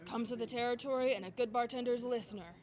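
A woman's voice: on the high side, quick pace, a little mellow, natural voice. A woman acting a brassy, flirty, wisecracking bartender in a radio drama. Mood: nosy, wry.

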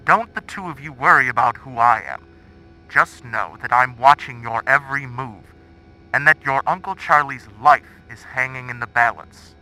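Sharp, taunting male villain. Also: mid-range voice, poor audio. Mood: gloating menace. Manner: taunting, deliberate threats. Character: menacing mysterious villain on the phone, gloating. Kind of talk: comic radio drama acting.

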